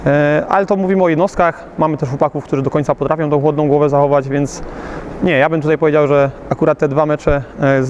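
A man's voice: a little high, darkish tone, slight background noise. A man in his thirties, a youth football coach, talking after a match with many hesitations, recorded outdoors. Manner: hesitant, many fillers and restarts. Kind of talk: post-match interview. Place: outdoors beside a covered pitch.

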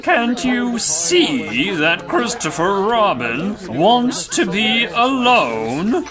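Male actor as a self-important, grumbling donkey poet for children's audio play; dragging delivery, lively melodic swings. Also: high-pitched, a crowd in the background, exaggerated voice. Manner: ponderous, halting, grumbling. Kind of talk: children's audio drama acting.

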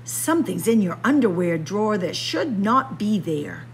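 A woman's voice: mid-range voice, very animated, moderate pace, a little hiss or hum. A woman voicing a bossy young girl bunny, a tidy big sister, in a picture book read-aloud. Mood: bossy, brisk. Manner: bossy, instructing.

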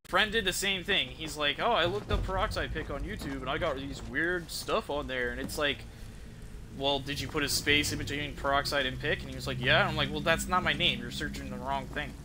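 Male game streamer, slightly high voice, loose rambling chat, clear background game noise. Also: brisk. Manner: informal, rambling. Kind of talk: casual livestream chat over gameplay. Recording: noisy stream mic with game ambience. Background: game audio.

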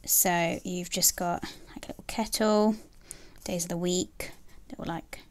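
A young woman chatting casually about planner stickers, soft and gushing, with many ums and likes. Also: fairly low pitch, fairly even intonation, measured pace. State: has a bad cold, nasal and throaty. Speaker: planner sticker haul vlogger. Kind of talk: casual haul chat. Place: indoors at a table, filming her hands.

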